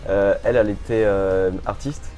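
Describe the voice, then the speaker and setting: A young man from French Guiana, a singer, talks with a low, relaxed voice in a bar interview. His speech is slangy and easygoing, dotted with light laughter, and faint music plays behind him. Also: moderate pace. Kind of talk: casual interview chat.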